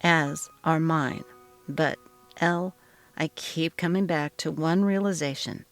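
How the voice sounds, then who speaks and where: A steady middle-aged dad voiced by a woman, calm and measured, from a text-message audio story. The voice sits fairly low over a music bed. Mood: reflective.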